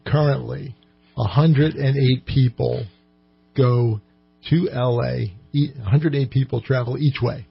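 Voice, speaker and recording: A man with a gravelly, husky voice of medium pitch speaks as an interview guest. He explains in a measured, halting way, on slightly muffled radio audio. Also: fairly even intonation. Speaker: economic development corporation president, interview guest. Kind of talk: informal radio interview answers.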